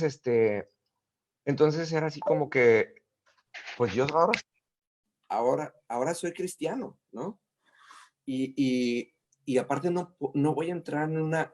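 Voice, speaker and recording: A middle-aged man, a guest in a casual interview, telling stories in an animated way with many fillers and restarts. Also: medium pitch.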